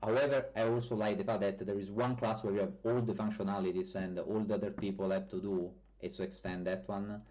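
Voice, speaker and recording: Low, mellow man with an Italian accent. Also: level tone, rough recording. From Italy. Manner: answers viewer chat questions in a halting, loose way, often correcting himself. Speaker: developer streaming a demo of his bot. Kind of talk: casual live-stream chat.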